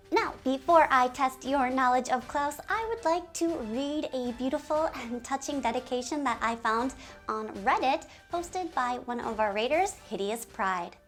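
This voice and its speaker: A woman with a fairly high voice presents a community video series about a game. She is animated and giggly and talks straight to her viewers, mixing scripted lines with asides. Faint music plays behind her.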